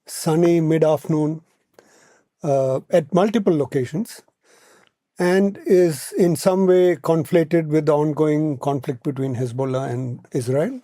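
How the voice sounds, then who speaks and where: Male analyst, Indian accent, warm dark timbre, close dry sound, measured explanatory delivery with repeated words. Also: on the high side, steady tone, dry recording. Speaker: podcast guest, policy analyst. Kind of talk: explanatory podcast discussion.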